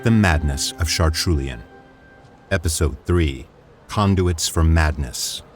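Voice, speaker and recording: A man reading scripted audio drama narration in a measured, descriptive way. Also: low voice. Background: music.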